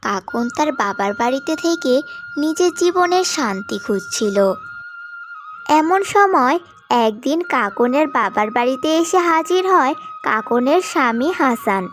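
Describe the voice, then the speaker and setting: A woman's voice: high voice, lively intonation, unhurried. A woman delivering scripted narration for a cartoon story. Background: background music.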